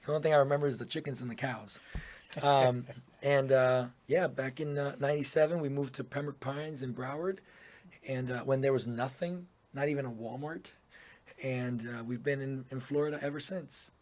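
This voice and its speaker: Man in his thirties, puerto rico origin, relaxed guest, chatty with fillers, mid pitch. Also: moderate pace. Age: 30s. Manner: easygoing, laughs often, frequent fillers. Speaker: guest business owner. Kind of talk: casual interview chat.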